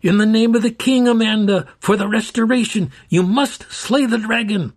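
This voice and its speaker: A man's voice: high voice, normal speed, voice pushed up. A man voicing an old caretaker in a storybook reading, speaking in a solemn, firm and urging way. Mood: ceremonial.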